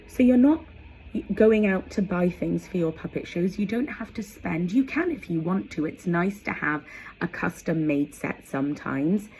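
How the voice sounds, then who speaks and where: A woman gives an informal how-to talk in a dark, soft-edged voice with a creaky fry. She takes her time, speaking conversationally with small asides as she demonstrates. A faint noise sits behind her. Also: on the low side, low-quality recording. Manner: explanatory, conversational asides while demonstrating props. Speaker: educator presenting storytelling props. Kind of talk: informal instructional vlog.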